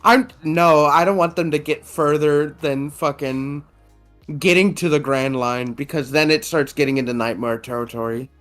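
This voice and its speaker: A woman's voice: low voice, moderate pace, soft background music. A woman co-hosting a podcast, opinionated and exasperated, often repeating herself. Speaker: podcast co-host. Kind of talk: casual chat.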